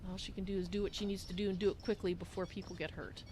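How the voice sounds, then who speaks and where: A woman's voice: mid-range voice, level tone, very fast, noisy background, close to an everyday voice. A woman roleplaying a kindly, motherly cat-folk adventurer who speaks of herself in the third person in a fantasy game. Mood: thoughtful, earnest.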